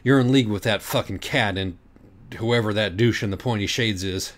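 A man's voice: fairly low pitch, normal speed, lightly acted. A man voicing a vengeful, defiant girl who swears and accuses, in an audiobook. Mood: hissing anger. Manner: hissing, accusatory.

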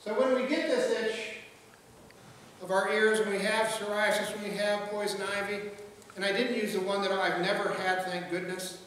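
High-voiced pastor in an echoey church. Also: male voice, steady tone, normal speed, a bit bright, slight background noise. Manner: conversational, repeats words as he goes. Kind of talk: sermon with jokes and quoted voices. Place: large church sanctuary, at a pulpit. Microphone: pulpit mic, filmed from a far camera. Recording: echoey hall, far-off mic, faint ambience.

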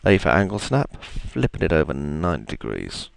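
A man with a gravelly, deep voice, chatting his way through a software tutorial with plenty of ums and asides. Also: wildly swooping pitch, moderate pace. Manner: chatty, self-deprecating, thinks aloud with ums. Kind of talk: screen-recorded software tutorial.

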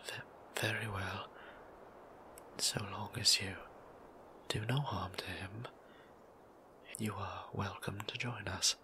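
A man voicing a soft-spoken vampire priest. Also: fairly low pitch, steady tone, takes it slowly, pauses often, background noise. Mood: wary. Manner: soft whisper, stammering when nervous. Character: gentle, flustered male vampire priest. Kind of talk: ASMR audio roleplay.

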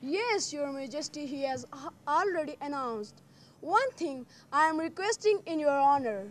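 A teenage girl playing a dutiful young court minister in a school drama, deferential and a little halting. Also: high-pitched, lively intonation. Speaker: student actor.

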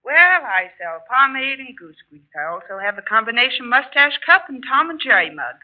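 A woman playing a prim, brisk lady traveling salesman in a 1940s radio comedy. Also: high-pitched, very animated. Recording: thin, phone-like 1940s radio broadcast.

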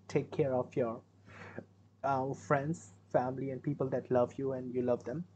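A man's voice: mid-range voice, little pitch movement, unhurried, a little mellow, a little hiss or hum. A young man with an Indian accent, giving rambling, casual commentary as he reacts to a music video in a small room at his webcam. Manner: rambling, self-correcting, chatty.

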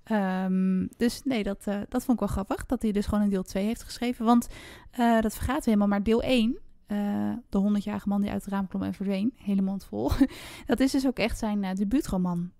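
A woman podcast host from the talks casually about books. Her voice sits at a middle pitch and she sounds chatty and appreciative. The sound is very dry, with no room echo. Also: brisk, few pauses, dark tone. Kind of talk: casual book discussion.

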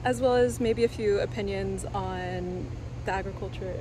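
A woman's voice: mid-range voice, moderate pace. A woman in her twenties hosting a casual vlog interview, speaking into a phone held at arm's length. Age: 20s. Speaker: host and interviewer. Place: outdoors and in greenhouses on a farm. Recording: noisy outdoor phone recording.

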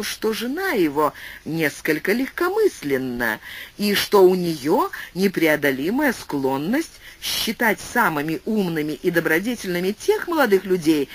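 A male audiobook narrator reads a classic tale with an expressive, swooping delivery. His voice sits high for a man, and his pitch rises and falls in wide, animated arcs. He shifts his pace freely as he tells the story. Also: slight background noise. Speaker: audiobook reader.

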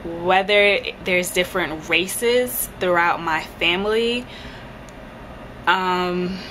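A young woman with a fairly low voice, chatting casually to her camera about her hair. She hesitates often with ums and small laughs. The recording has a clear steady hiss underneath. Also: slow pace, poor audio. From Jamaica. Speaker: beauty vlogger answering viewer questions. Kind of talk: casual Q&A chat. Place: indoors, bedroom in front of a headboard.

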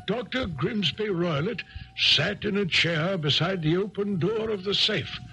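A man playing an earnest gentleman sidekick who narrates a radio mystery in formal, suspenseful style. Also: high-pitched, vocal fry, exaggerated voice, poor audio. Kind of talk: 1970s radio drama acting, narrating. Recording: 1970s radio broadcast.

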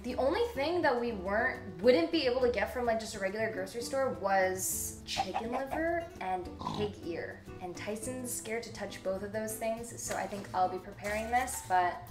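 A woman's voice: fairly high pitch, far from the mic. A young woman hosting a casual cooking stream in her home kitchen, chatting and giggling to her viewers. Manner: chatty, giggly, fast rambling asides. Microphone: room mic from a fixed camera. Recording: echoey kitchen with music behind. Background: background music.

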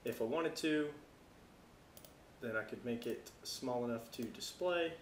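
Male tutorial presenter, American, informal think-aloud delivery, mid-pitched voice, roomy sound. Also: unhurried, a little hiss or hum. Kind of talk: casual software tutorial narration.

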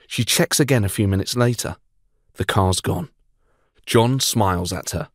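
A man's voice: on the low side, moderate pace, no echo. A man narrating a true-crime story as podcast host, with dry, wry asides. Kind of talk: scripted storytelling narration with banter.

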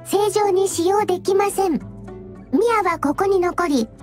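A woman voicing a small, dutiful robot child in a Japanese anime game, announcing in a formal, measured manner. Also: very high-pitched, faint music behind. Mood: warning.

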